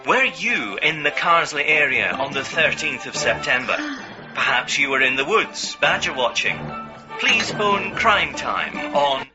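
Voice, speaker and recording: An actor playing an earnest television crime presenter, solemn and dramatic, within a radio drama. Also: male voice, a little high, big pitch swings, unhurried, lo-fi sound, over music. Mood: grave.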